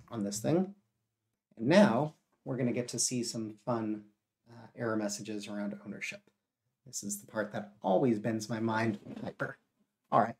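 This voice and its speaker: A man with a cold and a gravelly voice, thinking aloud as he codes live and explains each step. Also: medium pitch. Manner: steady explaining with frequent uh and self-corrections. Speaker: live coding streamer.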